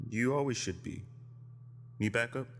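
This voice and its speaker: An actor playing a tough, exacting agent and trainer in an audio drama, talking bluntly. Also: male voice, mid-range voice, moderate pace, a bit bright, natural voice. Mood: dry, curious. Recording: hall reverb, clearly noisy background.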